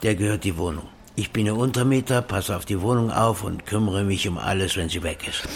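An actor playing a brash friend who talks bluntly and coarsely, often mocking. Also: male voice, fairly low pitch, a little fast, creaky, a little hiss or hum. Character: brash male friend, blunt and rough-talking. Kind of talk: audio drama acting.

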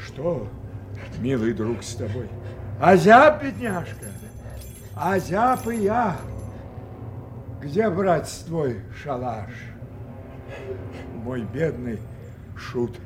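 Aged, grief-torn mad monarch, slow theatrical declamation with big swings, stage tragedy. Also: male voice, medium pitch, noisy background. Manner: declamatory, swinging from outcry to broken murmur. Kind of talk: theatrical Shakespearean radio drama acting.

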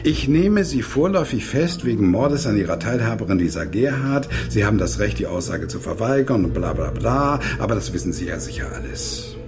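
An actor playing a laconic, bored police detective in a German radio crime drama, dry and offhand. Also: male voice, on the low side, huge pitch swings, fairly quick, hardly any pauses, music in the background. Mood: bored, official. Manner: dry, offhand, world-weary.

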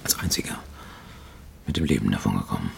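An actor playing a grown man, orphaned as a child, who recounts his past in a measured radio-play monologue with dramatic pauses. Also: rumbling low, big pitch swings, creaky, exaggerated voice. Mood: intense, haunted. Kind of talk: literary first-person monologue in a radio play. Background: music.

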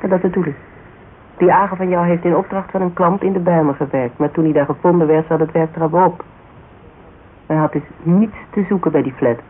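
A woman's voice: fairly low pitch, natural voice. Radio drama role: sceptical woman private eye played by an actress, careful, questioning, with a tinny broadcast band and light noise. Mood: reasoning. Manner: measured, probing, sceptical. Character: sceptical, cautious female private detective. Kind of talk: Dutch radio drama acting, conversational. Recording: narrow, faintly noisy old radio broadcast.

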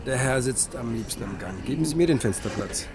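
An actor playing a reflective musicologist who narrates his memories in a measured, understated radio drama style. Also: medium pitch, expressive, crowd noise, lightly acted. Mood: polite, curious.

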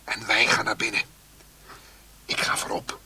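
A man's voice: fairly low pitch, monotone, a little slow, sharp tone, slightly rough, whispered, exaggerated voice. An actor playing a cautious bourgeois man who bossily leads armed followers with clipped commands in a radio drama. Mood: tense commanding.

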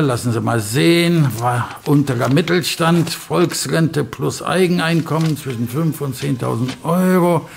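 Man playing a creaky, officious civil servant. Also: medium pitch, slight background noise, close to an everyday voice. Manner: officious, dry, brusque. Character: grey, pedantic, condescending male civil servant. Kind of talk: radio play dialogue.

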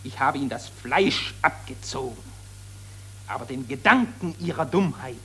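An actor playing an impassioned satirist who accuses warmongers and summons the war dead, declaiming solemnly in 1940s German radio drama style. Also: male voice, medium pitch, lively intonation, normal speed, slight background noise. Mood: solemn, bitter.

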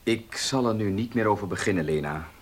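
An actor playing an earnest, proud suitor in a radio play, grave and restrained. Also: male voice, fairly low pitch, fairly quick, lightly acted. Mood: restrained, resigned. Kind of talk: Dutch radio play acting.

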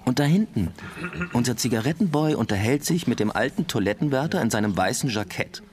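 Actor as knowing Berlin bartender, lively swooping delivery. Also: male voice, mid-range voice, a little fast, crowd noise, close to an everyday voice. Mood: knowing, gossipy. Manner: conspiratorial, knowing. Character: worldly, cynical Berlin bartender, chatty and knowing. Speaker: actor in a radio drama. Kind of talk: German radio drama acting. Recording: music playing behind.

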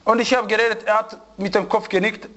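A man giving an informal religious lesson to a small group, telling anecdotes with animated, repetitive phrasing. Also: high voice, fast, lo-fi sound. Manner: animated storyteller, repeats and restarts phrases. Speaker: religious teacher.

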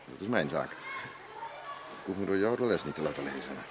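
Een acteur die in een hoorspel een stugge zwemleraar speelt, kortaf en soms haperend. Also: male voice, rumbling low, lively intonation, normal speed. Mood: indignant, brusque. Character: gruff defensive swimming instructor with estranged wife. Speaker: radio drama actor. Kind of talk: Dutch radio play dialogue. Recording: noisy old radio drama recording.

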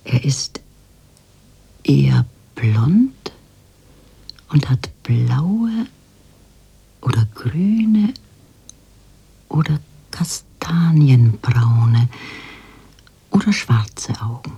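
An actress playing a worldly older fortune-teller in a radio drama, cooing endearments and making theatrical, oracular pronouncements in a breathy, husky voice. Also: female voice, extremely low pitch, very animated, mellow, full character voice. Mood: mysterious, prophetic. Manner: slow, insinuating, oracular, cloying endearments.

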